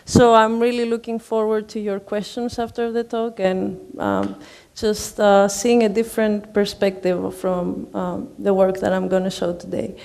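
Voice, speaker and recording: A woman's voice: medium pitch, level tone, unhurried, creaky, darkish tone. A young woman from Greece with a Greek accent, giving an academic talk with many fillers and hesitations. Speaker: guest speaker.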